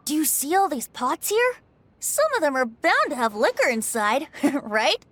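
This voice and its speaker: A high, lilting, playful immortal lady. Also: big pitch swings, a little slow, a bit bright, no echo, heavily acted. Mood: teasing. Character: playful, long-lived woman, teasing yet wise. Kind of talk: video game voice acting.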